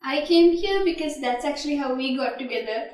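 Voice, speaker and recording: A woman's voice: high voice, lively intonation, brisk, a loud crowd. A woman in her twenties chatting casually in a group. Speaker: participant in a couples discussion. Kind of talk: casual chat. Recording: echoey distant mic, loud guitar music.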